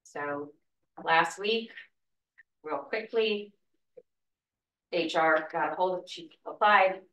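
A woman's voice: on the low side, slow pace, fairly bright tone, recorded from a distance. Woman pleading from a podium, echoey room. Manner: earnest, emotional plea. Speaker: school cafeteria worker speaking at public comment. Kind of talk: public comment at a school board meeting. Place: meeting room, at a wooden podium. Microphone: podium mic, heard from a far camera. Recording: echoey room, voice heard from afar.

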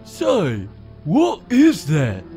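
A man voicing a whiny, dim Wild West outlaw in a cartoon comic dub, with drawn-out cowboy talk. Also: on the high side, wildly swooping pitch, mellow, soft background music, heavily acted. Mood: amazed.

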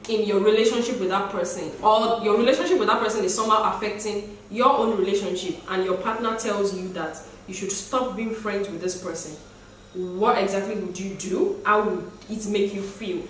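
A woman's voice: medium pitch, steady tone, normal speed, slight background noise. A young woman hosting a casual couch discussion, chatty and asking questions. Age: young adult. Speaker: host. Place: living room, seated on a couch. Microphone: far camera. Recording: roomy echo from a distant mic.

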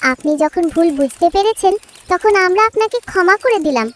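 A woman voicing a tiny, kindly, anxious female tailorbird in a children's cartoon, childlike and gentle. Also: very high-pitched, animated, normal speed. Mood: forgiving.

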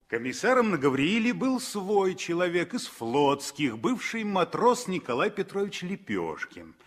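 A man narrating a 1960s radio drama as an expressive storyteller from a script. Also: high voice, a little slow.

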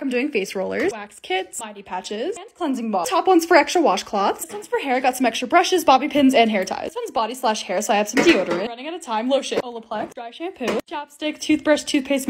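A young woman in her twenties with a slightly raspy voice, chatting excitedly in a casual voice-over while organizing her home. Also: high-pitched, noisy background. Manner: chatty, excited, quick and bubbly. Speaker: TikTok creator.

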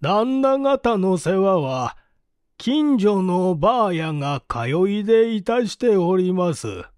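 A man voicing a humble, deferential village manservant in his forties, testifying haltingly in a mystery audiobook. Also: sits high, sing-song, darkish tone, clear, resonant tone, no room sound, studio quality, exaggerated voice. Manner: slow, halting, measured testimony. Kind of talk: audiobook reading of a vintage mystery.

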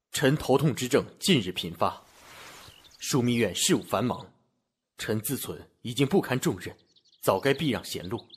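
An actor playing a weary, guarded general-prince of ancient China, speaking formal, measured court language in a period audio drama. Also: male voice, mid-range voice, a little hiss or hum, close to an everyday voice. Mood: formal, resolute.

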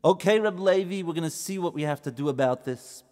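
A man voicing a group of patient male Torah scholars who question and calm visitors in a children's story. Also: fairly high pitch, a little fast, long reverb tail, natural voice.

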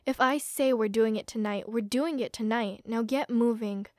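Casting: scheming young villainess acted by a woman, bossy, fairly high voice, dry close sound. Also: moderate pace, darkish tone, no room sound, close to an everyday voice. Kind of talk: amateur animated roleplay drama acting.

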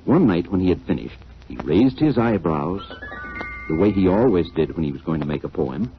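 A man's voice: low-pitched, normal speed, faint music behind. A man giving theatrical scripted narration in the style of 1940s children's radio storytelling. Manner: theatrical, expressive. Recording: dull vintage 1940s radio recording. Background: musical accompaniment.

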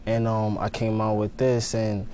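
A man's voice: fairly low pitch, little pitch movement, measured pace, warm tone, slight background noise. A young man from Ghana, a fashion designer, answering interview questions in a laid-back, casual way in a TV studio. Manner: laid-back, lots of fillers like "you know what I mean".

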